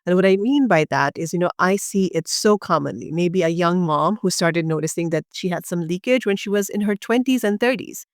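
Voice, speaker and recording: A woman's voice: fairly low pitch, brisk, hardly any pauses, dry recording, clean studio sound. A woman from Bangladesh, a urogynecologist guest, explaining pelvic health in an earnest, passionate conversational way with many fillers.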